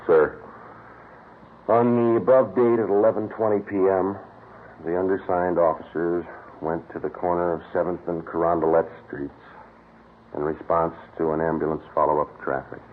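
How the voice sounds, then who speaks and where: A man's voice: low-pitched, flat delivery, moderate pace, natural voice. An American man playing a terse, matter-of-fact police detective sergeant in a 1950s radio drama, speaking in a clipped, businesslike way. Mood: methodical. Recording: muffled, noisy old radio recording.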